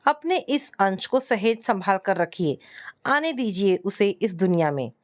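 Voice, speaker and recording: A woman's voice: mid-range voice, big pitch swings, measured pace. A woman voicing a caring, earnest doctor in a narrated family story, politely persuasive. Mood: earnest, advising.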